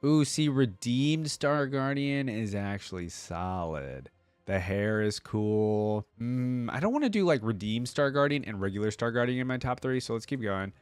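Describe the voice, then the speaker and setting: A man's voice: mid-range voice, clean tone, no room sound. A man giving casual reaction commentary as a video creator, musing aloud informally and sometimes reading text out.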